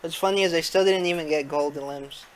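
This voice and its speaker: A man's voice: fairly high pitch, fairly quick, a little hiss or hum. A man chatting casually with friends while he plays a video game. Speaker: gamer recording a match. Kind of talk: casual gaming chat. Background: video game music.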